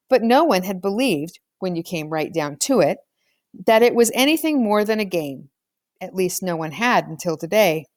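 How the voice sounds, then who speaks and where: A woman's voice: mid-range voice, no room sound. Woman narrating in a dry recording. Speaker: audiobook reader. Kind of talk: scripted storybook narration.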